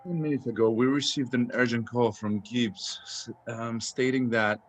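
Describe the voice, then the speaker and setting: Casting: male actor as dutiful army sergeant, mid-pitched, clipped and deferential, slightly hesitant, reporting to a superior. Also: steady tone, normal speed, a little hiss or hum, natural voice. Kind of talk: screenplay table read.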